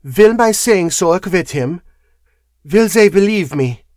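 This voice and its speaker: A man voicing a pale foreign wife, quiet, coldly controlled and intense, in an audiobook story. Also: high voice, lively intonation, a little slow, no room sound, clean studio sound, pitched above the performer's own voice. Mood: cold, probing.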